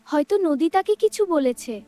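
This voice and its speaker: A woman's voice: very high-pitched, animated, normal speed, dark tone. A woman voicing a curious youngster in a scripted children's cartoon, speaking in short, wondering lines. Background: music.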